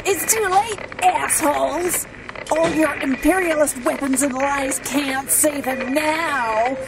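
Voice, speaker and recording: A woman's voice: high-pitched, slow pace, background noise. A woman playing a fanatical, defiant revolutionary hijacker in an audio drama, ranting and taunting in declamatory speech. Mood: taunting, angry. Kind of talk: amateur audio drama acting. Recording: loud music and engine behind voice.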